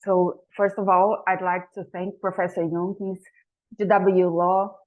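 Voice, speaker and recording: A woman's voice: lowish voice, little pitch movement, a little slow. A woman speaking as a panelist in a webinar over a video call, opening politely and formally. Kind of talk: webinar presentation. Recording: tinny phone-like call audio.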